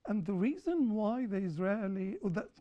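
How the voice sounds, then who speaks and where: Woman panelist, mellow tone, deliberate lecturing delivery, dull lo-fi sound. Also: mid-range voice, big pitch swings. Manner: deliberate, lecturing, repeats points for emphasis. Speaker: panel speaker and author. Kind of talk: panel discussion after a film screening. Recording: dull lo-fi recording.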